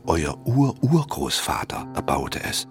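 An actor playing a wise, kindly, wistful old half-dwarf tutor in a children's radio play, speaking solemnly and deliberately. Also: male voice, extremely low pitch, wildly swooping pitch, full character voice. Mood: hopeful, earnest. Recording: music behind the voice. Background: clear background music.

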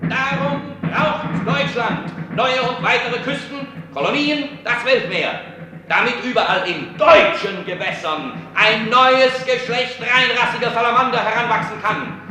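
Echoing, pompous German scientist. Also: male voice, extremely high pitch, measured pace, pushed and bright, faint music behind, full character voice. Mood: fanatical, rousing. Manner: declamatory, swelling into fervent oratory. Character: pompous German racial-theorist scientist proclaiming superiority. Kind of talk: radio drama lecture. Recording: very echoey, distant from the mic.

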